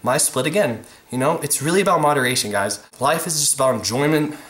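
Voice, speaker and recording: A man in his twenties talks casually to the camera as a fitness vlogger. His voice sits at an average male pitch, and his delivery is slangy and laid-back, repeating words to drive points home. It was recorded in a living room with clear echo, on a camera mic at about arm's length. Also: lively intonation, fast talker, fairly bright tone. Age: 20s. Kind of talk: casual advice talk to camera.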